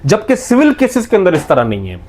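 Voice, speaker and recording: Higher-pitched Pakistani man lecturing step by step. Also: wildly swooping pitch, brisk, darkish tone. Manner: didactic, step-by-step, emphatic restating. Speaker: law lecturer. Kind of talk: explanatory lecture mixing in English legal terms.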